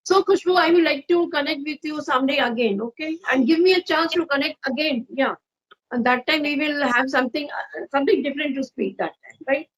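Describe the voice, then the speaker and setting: High-voiced older woman tutor, chatty. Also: fairly quick, low-quality recording. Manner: relaxed questioner, fills with "you know". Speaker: English conversation tutor. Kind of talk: casual conversation practice.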